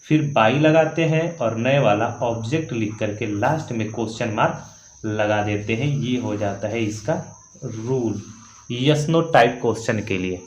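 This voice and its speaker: A man's voice: mid-range voice, measured pace, a little hiss or hum. A man teaching grammar step by step, repeating key points and checking in often with short tags. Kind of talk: classroom-style grammar lesson, explaining rules step by step. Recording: echoey room.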